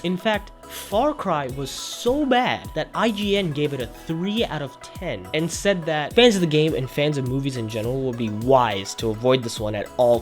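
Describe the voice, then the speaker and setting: A man presenting an opinionated video essay as a YouTube host, speaking emphatically. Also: on the high side.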